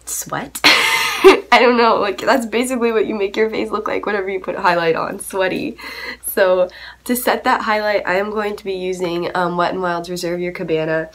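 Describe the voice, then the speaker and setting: A young woman with a cold, chatting bubbly and casual through a makeup tutorial in her bedroom, recorded on a camera in front of her. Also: lowish voice. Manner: bubbly, chatty, frequent ums and likes. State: sick with a cold, voice lower than usual. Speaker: beauty vlogger. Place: bedroom, indoors.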